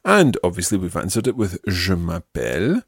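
A man's voice: low voice, sing-song, moderate pace, breathy edge, no echo, clean studio sound. A man teaching a language lesson, patiently prompting and carefully repeating phrases. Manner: patient, instructive, carefully enunciated.

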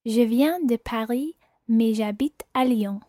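A woman's voice: fairly high pitch, measured pace, warm tone, no echo, clean studio sound. A woman studying a language, answering a teacher's prompts carefully in short phrases. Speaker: language student.

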